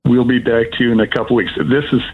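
An older man, sixties or above, giving an unscripted, rambling personal account as a caller, in a halting way. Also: mid-range voice, fairly even intonation, breathy edge, narrow, tinny audio. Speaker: caller telling of being scammed.

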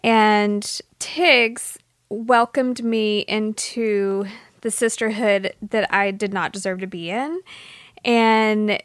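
A woman's voice: medium pitch, fairly even intonation, slow pace, no room sound, studio quality. A woman co-hosting a casual podcast interview, speaking in a heartfelt, slightly teary way. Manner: heartfelt, emotional, a little teary.

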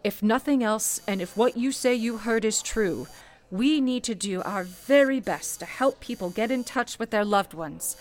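A woman's voice: a little high, faint music behind, close to an everyday voice. A woman playing a composed, motherly switchboard supervisor, formal and authoritative, in an old-time radio play. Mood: earnest, motherly. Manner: formal, measured, authoritative, coaxing.

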